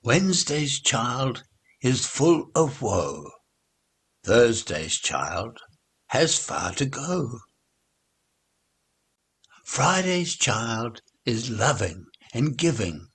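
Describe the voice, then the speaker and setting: Man, very rough husky voice, slow pace, theatrical and playful, children's rhyme reading. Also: mid-range voice, sing-song, fairly bright tone. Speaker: reader of nursery rhymes. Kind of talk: expressive children's rhyme recitation.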